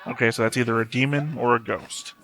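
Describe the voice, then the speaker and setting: A man speaks in an even, fairly flat tone at a middling pitch. He reacts casually to a show, thinking aloud and puzzling things out as he goes. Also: a little fast, slight background noise. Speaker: anime reaction host. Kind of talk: casual off-the-cuff reaction commentary.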